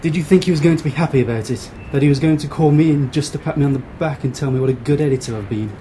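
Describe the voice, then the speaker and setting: A man's voice: fairly high pitch, racing, mellow, slight background noise, close to an everyday voice. A man playing a stern, exasperated newspaper editor in an amateur radio play, reasoning and admonishing as he speaks.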